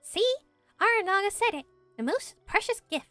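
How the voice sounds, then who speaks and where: A woman voicing a tiny, excitable, childlike fairy girl companion in a video game, exclamatory and eager. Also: very high-pitched, moderate pace, no echo, soft background music, voice pushed up, full character voice. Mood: delighted. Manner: exclamatory, refers to self by name. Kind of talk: amateur voice acting of video game dialogue.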